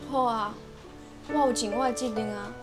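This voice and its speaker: High-voiced aggrieved Taiwanese wife played by an actress. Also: female voice, steady tone, normal speed, over music, natural voice. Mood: wounded, defensive. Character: aggrieved young wife and mother, hurt and confrontational. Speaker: actress in a family drama. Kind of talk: naturalistic TV drama acting.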